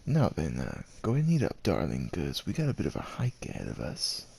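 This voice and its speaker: A man playing a devoted, gentle werewolf suitor in an ASMR roleplay, speaking with hesitant tenderness and courtly phrasing in a gravelly, husky voice. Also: extremely low pitch, huge pitch swings, brisk, exaggerated voice. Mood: tender. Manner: hesitant, tender, old-fashioned courtly phrasing with tag questions. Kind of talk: ASMR roleplay, acted monologue addressed to the listener.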